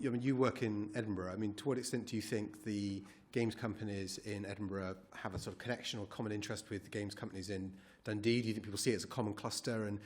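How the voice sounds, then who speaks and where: A man questioning a panel of witnesses at a committee hearing in a measured, inviting way. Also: fairly low pitch. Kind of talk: formal questioning.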